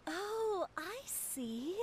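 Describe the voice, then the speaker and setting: An actress playing a polite, apologetic shopkeeper auntie in a video game. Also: female voice, very high voice, sing-song, drawn-out words, a little hiss or hum, exaggerated voice. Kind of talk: video game cutscene voice acting.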